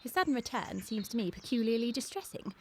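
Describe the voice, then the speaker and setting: A woman's voice: on the high side, sing-song, fast, a little hiss or hum. A woman playing a prim, disapproving Victorian lady in a radio period comedy, starchy and formal. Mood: distressed disapproval.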